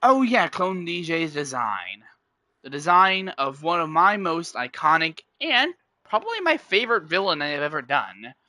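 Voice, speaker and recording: A man's voice: a little high, big pitch swings, normal speed, bright, forceful tone, dry recording. A man streaming and chatting casually with his viewers, rambling and often correcting himself mid-sentence. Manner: rambling, self-correcting, talks straight to chat. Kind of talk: casual livestream chat.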